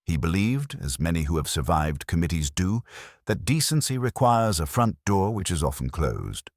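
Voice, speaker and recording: A man reading a scripted literary mystery as narrator, in a measured, formal storytelling manner. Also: deep voice, big pitch swings, no room sound, studio quality.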